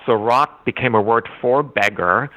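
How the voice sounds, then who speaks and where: A man whose first language is German, a literature scholar giving thoughtful, halting interview answers with frequent restarts. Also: medium pitch, bright, forceful tone, dull audio. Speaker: literature scholar and anthology editor.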